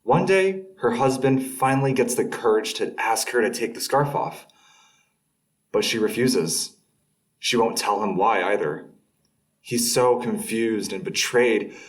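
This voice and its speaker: A man's voice: medium pitch, lively intonation, unhurried, close to an everyday voice. A young man acting as a defensive guy casually telling a story to friends in an audio drama. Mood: casual storytelling. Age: young adult. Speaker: student audio drama actor. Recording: slightly distant from the mic.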